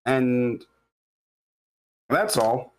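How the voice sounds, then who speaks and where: Guy with an average pitched voice rambling through feedback, keeps restarting and hunting for words. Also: expressive, slight background noise. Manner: halting, rambling, searching for words. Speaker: table read participant giving feedback. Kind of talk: casual critique.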